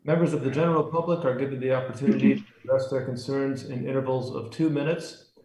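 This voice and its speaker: A man with an American accent and a medium-pitched voice chairs a public meeting. He reads the rules in a formal, scripted way. The sound is noticeably roomy and echoing, as on an online meeting. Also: steady tone, fast talker, a little mellow, a little hiss or hum. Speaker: chair of a city review commission.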